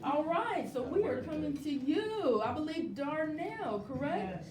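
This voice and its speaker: A roomy, off-mic recording with clear background noise carries a woman hosting a live group interview. She speaks in a fairly high voice and is encouraging, often affirming what her guests say. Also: expressive, measured pace, distant mic, a crowd in the background. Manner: encouraging, affirming with frequent short responses. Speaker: host interviewing a stage play cast.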